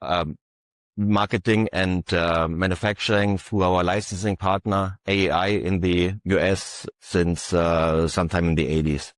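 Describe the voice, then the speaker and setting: A man presenting his company's equipment at an industry session, speaking haltingly with many filler uhs. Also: low-pitched, steady tone, dry recording, studio quality. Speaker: conference panelist.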